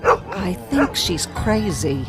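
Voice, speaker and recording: An actress playing a cautious, sympathetic wife in a radio drama. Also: female voice, medium pitch, big pitch swings, slow pace, loud music behind the voice. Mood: wary.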